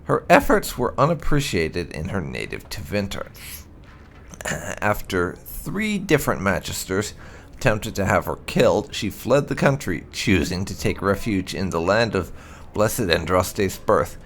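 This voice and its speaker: A man hosting a gaming video, speaking in a halting, deliberate way with drawn-out emphasis. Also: sits low, sing-song, a bit bright, a little hiss or hum. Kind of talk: casual let's-play commentary.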